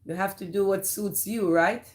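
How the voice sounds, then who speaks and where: A woman's voice: mid-range voice, steady tone, normal speed, darkish tone. Woman, Jamaican accent, livestream host, casual chat, greets viewers by name. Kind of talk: casual live chat reading viewer comments.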